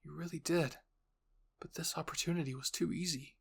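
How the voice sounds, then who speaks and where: A man's voice: on the high side, fairly even intonation, brisk, vocal fry, slightly rough. A man reading scripted, second-person dramatic story narration as a narrator and voice actor.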